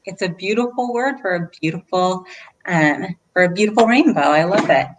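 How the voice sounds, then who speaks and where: A woman's voice: on the low side, normal speed, a little hiss or hum, low-quality recording. A woman hosting a read-aloud for children, speaking as a librarian in an encouraging way and putting questions to her listeners. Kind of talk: children's storytime chat.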